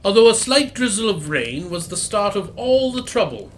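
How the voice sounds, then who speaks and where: A man playing a genial, old-fashioned gentleman doctor who recounts old cases in an audio drama. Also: sits high, very animated, normal speed, a little hiss or hum. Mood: genial, welcoming. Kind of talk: audio theatre acting, storytelling.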